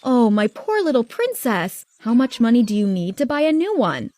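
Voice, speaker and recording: A woman's voice: a little high, sing-song, moderate pace, dark tone, no room sound. A woman voicing a protective middle-aged father with an emphatic manner, in a told story. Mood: doting. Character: doting, easily angered middle-aged father.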